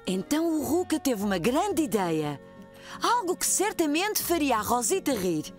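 Uma mulher com voz cantada e expressiva narra para crianças, calma e clara. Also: female voice, sits high, measured pace, faint music behind. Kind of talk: storybook narration.

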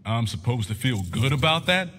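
A man's voice: mid-range voice, lively intonation, moderate pace, a bit bright, natural voice. A man playing a blunt, tough-talking soldier father in a fighting game. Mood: stern. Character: gruff protective soldier father, middle-aged.

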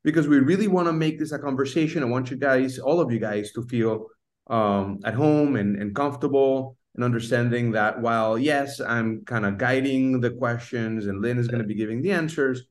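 A man's voice: medium pitch, moderate pace. A man in his forties hosting a webinar on a video call from a room at home, talking casually with many fillers and restarts. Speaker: webinar host. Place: home room with shelves and plants. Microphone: laptop webcam video call.

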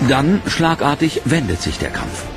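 Gravelly male documentary narrator over music. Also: mid-range voice, huge pitch swings, normal speed.

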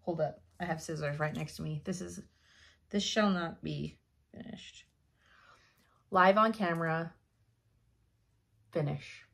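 A young woman giving a chatty cross-stitch update from her home craft room, her voice slightly hoarse, laughing often as she thinks aloud. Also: low-pitched, takes it slowly, pauses often, fairly bright tone. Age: young adult. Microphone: camera in front at desk.

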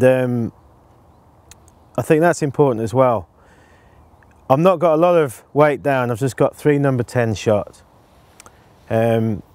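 A man in his forties explaining his canal fishing setup in a relaxed, casual way, with frequent ums, recorded outdoors on a lapel mic. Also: mid-range voice, no echo. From England. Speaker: angling presenter. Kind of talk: casual instructional talk to camera.

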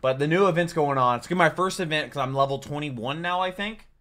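Bright-voiced man, chatty. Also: on the high side, quick pace. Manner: chatty, informal, rambling. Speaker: reaction video host. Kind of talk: casual reaction commentary. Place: small home room, indoors. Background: faint music.

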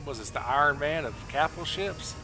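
Lively, higher-pitched male game streamer. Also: brisk, background noise. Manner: jokey, exclamatory. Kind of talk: casual reactive gameplay commentary. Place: indoor room, streaming setup. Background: game music and effects.

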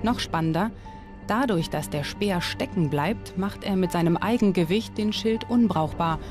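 A woman presenting a history documentary in scripted, clearly articulated and explanatory narration. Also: lowish voice, moderate pace, music in the background. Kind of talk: scripted documentary narration with on-location interview questions.

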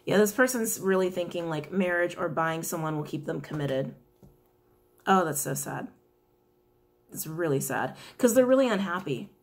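A young woman with a raspy, slightly worn voice reads tarot cards straight to the camera. She talks casually and chattily, often laughing and apologizing along the way. She is recorded in a small indoor room. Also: lowish voice, animated, normal speed. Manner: chatty, giggly, apologetic asides. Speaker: tarot reader.

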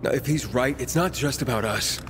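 A man's voice: mid-range voice, moderate pace, noisy background, close to an everyday voice. An actor playing an earnest, protective young male survivor in a dramatic video game scene. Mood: urgent.

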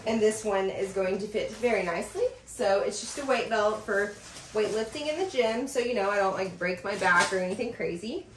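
A woman in her thirties chatting in a casual vlog as she unboxes packages in a home office, gushing and excited, with lots of fillers. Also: mid-range voice, recorded from a distance, a little hiss or hum. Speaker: vlogger. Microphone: camera across a desk. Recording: roomy sound from off the mic.

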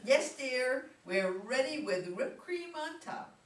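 A woman's voice: on the low side, expressive, measured pace. A woman playing an affectionate, protective suburban American mother, young middle-aged, in a stage play reading. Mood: calling sweetly. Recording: roomy, distant, some noise.

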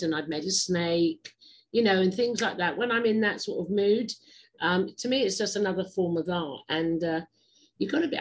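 A woman hosting an interview show, chatty and digressive, quick to laugh. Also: lowish voice, poor audio. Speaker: host. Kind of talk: casual interview chat.

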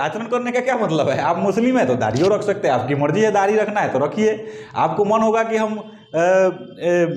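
A man in his thirties with a Bihari accent, giving a colloquial, repetitive explanatory lecture as a teacher who addresses students directly. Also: high-pitched. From Bihar, India. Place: small indoor classroom with whiteboard. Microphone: lapel mic. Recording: echoey room.

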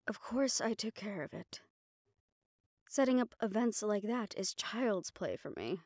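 A woman's voice: mid-range voice, big pitch swings, unhurried, darkish tone, no echo. A woman playing a sardonic, capable personal assistant to a mafia boss in an intimate audio roleplay, speaking in a dry, familiar, businesslike way. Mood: dry, confident. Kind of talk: intimate scripted audio roleplay, one-sided dialogue.

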